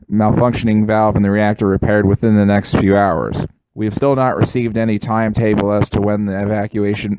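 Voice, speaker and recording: A man's voice: fairly low pitch, warm tone, dry recording. A man acting as news anchor, reading a scripted breaking news report in a formal, matter-of-fact way.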